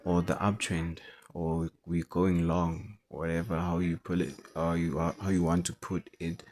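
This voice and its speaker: A man who is not a native English speaker, talking through forex charts in a halting way and repeating words as he goes. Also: low voice, little pitch movement, darkish tone. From South Africa. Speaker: forex trader. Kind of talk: chart analysis commentary.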